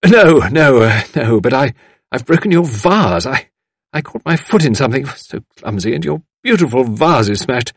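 A man's voice: on the high side, very animated, a little fast, dry recording, clean studio sound, voice pushed up. A man voicing a gushing, flustered, apologetic woman visitor with a stammering, effusive manner in an audiobook. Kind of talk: audiobook character voice.

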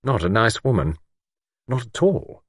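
Man voicing a relaxed young male sleuth, dry casual delivery, lively pitch, close dry sound. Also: measured pace, husky, no room sound. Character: calm, easygoing young male investigator.

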